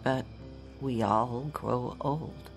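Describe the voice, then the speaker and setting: A timid old woman in a radio drama, low-voiced and slow. She sounds tentative and doubtful, with faint music behind her. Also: heavily acted.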